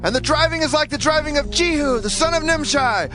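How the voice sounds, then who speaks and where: Tower lookout male actor, scripture audio drama, calls out reports at a very high pitch over music. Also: a little fast. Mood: alert, urgent. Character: alert watchman or herald calling out reports. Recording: background music under the voice.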